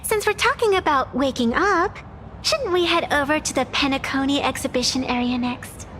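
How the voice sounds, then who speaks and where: A woman's voice: sits high, expressive, moderate pace, a bit bright, slight background noise. A woman voicing a breezy, sly young girl with a philosophical streak in an anime-style video game, nonchalant and teasing. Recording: faint background music under the voice.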